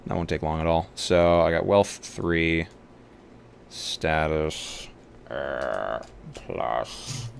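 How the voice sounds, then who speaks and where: Deep, gravelly man streaming casually. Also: extremely slow, pauses often. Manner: thinks aloud, banters with profanity. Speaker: tabletop roleplay streamer and player. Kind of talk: casual livestream chat while building a game character. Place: live online game stream. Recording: clear background noise.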